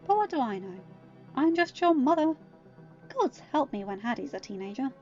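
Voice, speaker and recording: A woman's voice: high-pitched, very animated, moderate pace, darkish tone, muffled recording, faint music behind, voice pushed up. A woman voicing an exasperated, protective mother with a sarcastic, huffy manner in a story. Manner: sardonic, huffy.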